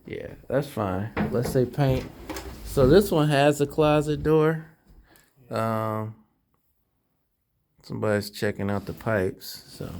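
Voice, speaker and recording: A man talking casually and informally with a colleague during an indoor walkthrough, thinking aloud and making quick decisions. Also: medium pitch, a little mellow, a little hiss or hum. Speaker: property manager doing a walkthrough inspection. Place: indoors, rooms of an empty house under inspection.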